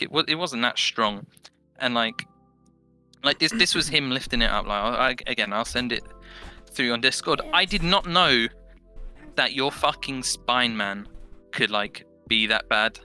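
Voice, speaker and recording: A man's voice: mid-range voice, lively intonation, moderate pace, bright, forceful tone, clean tone, soft background music. A young British man chatting casually, with slangy, sweary, blunt banter. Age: young adult. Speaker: podcast guest. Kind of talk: casual chat.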